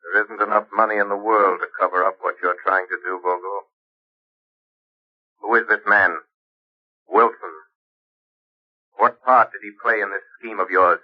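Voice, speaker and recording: A man playing a calm, mysterious vigilante in a 1930s radio drama, speaking in a cool, commanding way on an old broadcast recording. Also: lowish voice, normal speed, pauses often, dull audio, close to an everyday voice. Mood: stern. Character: calm mysterious male vigilante, unseen avenger.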